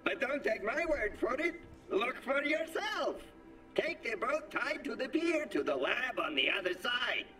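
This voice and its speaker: Shifty scheming game character. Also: male voice, extremely high pitch, sing-song, a little slow, sharp tone, recorded from a distance, full character voice. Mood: sly. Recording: game audio over music. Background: game music.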